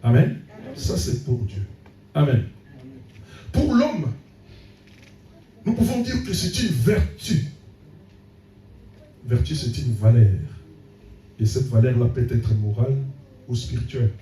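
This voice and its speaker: A man's voice: mid-range voice, animated, slow, long pauses, mellow, a little hiss or hum. A man preaching a sermon as pastor, punctuating his points with frequent calls of amen. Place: church hall. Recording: echoey church room, voice from a distance.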